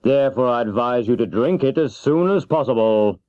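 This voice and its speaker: A man's voice: mid-range voice, animated, normal speed, no echo, voice pushed up, natural voice. A man voicing a polite, obliging little humbug wizard in a children's storybook reading. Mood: coaxing. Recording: dull, lo-fi cassette transfer.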